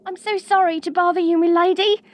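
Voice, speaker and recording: A woman's voice: very high voice, fairly even intonation, a little fast, no room sound, voice pushed up. A woman voicing a meek young castle servant in an audio roleplay, apologetic, formal and obedient. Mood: timid, apologetic.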